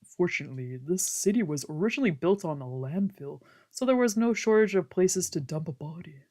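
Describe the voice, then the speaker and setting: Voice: medium pitch, lively intonation, a little fast, warm tone. A streamer reading a visual novel's narration aloud, occasionally stumbling over a word. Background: background music from a video game.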